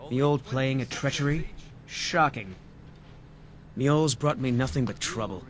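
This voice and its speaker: An actor voicing a sleazy, menacing sorcerer in a video game, making slow, sneering threats. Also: male voice, medium pitch, lightly acted.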